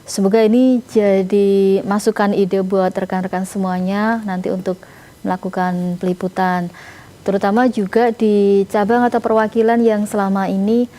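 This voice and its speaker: A woman's voice: mid-range voice, steady tone, moderate pace, dark tone. A woman moderating an online meeting, polite and keeping things moving. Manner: polite, moves the meeting along.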